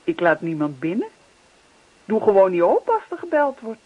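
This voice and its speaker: A woman acting a devoted, affectionate wife in a radio play, earnest and coaxing, with a husky voice. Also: mid-range voice, huge pitch swings, moderate pace. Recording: muffled old radio recording.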